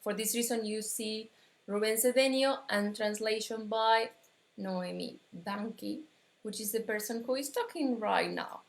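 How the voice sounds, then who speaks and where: Slow Argentine woman teaching in an echoey room. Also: medium pitch, creaky. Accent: Argentine Spanish. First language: Spanish. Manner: halting, searching for words, many restarts. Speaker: metaphysics teacher. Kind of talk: live-streamed spiritual lesson.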